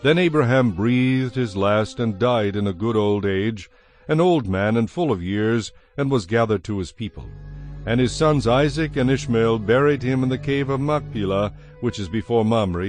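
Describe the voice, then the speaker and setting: A man narrating dramatized scripture in a solemn, measured manner. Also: medium pitch. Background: music.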